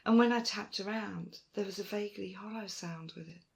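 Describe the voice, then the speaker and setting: A woman playing a proud, stubborn archaeologist in an audio roleplay, with emphatic, expressive delivery. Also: brisk, creaky, lightly acted. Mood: confiding. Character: Dune, proud, determined female archaeologist, stubborn and warm to friends. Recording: roomy, faint rumbling noise.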